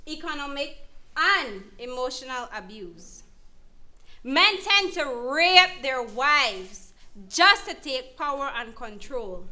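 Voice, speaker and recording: A woman playing a firm, supportive counsellor, speaking deliberately and emphatically in an educational dramatization. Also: high-pitched, pushed and bright, heavily acted. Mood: instructive. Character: female counsellor, firm, supportive, explaining abuse to victim. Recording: clear background noise.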